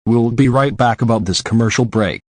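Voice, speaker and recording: A man's voice: medium pitch, normal speed, slightly rough, dry recording. A man reading a scripted local news report as a news anchor.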